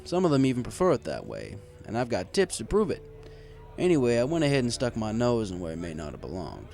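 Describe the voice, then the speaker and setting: A man's voice: medium pitch, wildly swooping pitch, quick pace, vocal fry, a little mellow, faint music behind. A man reading a first-person story aloud in a wry, conversational way. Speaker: story reader. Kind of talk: first-person scripted fan fiction narration.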